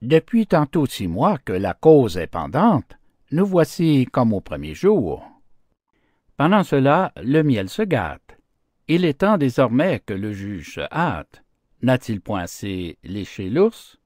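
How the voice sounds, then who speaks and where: A prudent female honeybee played by a man argues as in a storybook court. She is impatient and pleading, pitched a bit above the narrator, with very lively intonation. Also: slow pace, no room sound, studio quality. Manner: pleading, reasoning.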